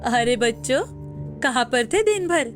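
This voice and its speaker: Old grandmother played by a woman, fretful and scolding, fairly high voice, storybook. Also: animated, a little slow, over music. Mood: scolding, worried.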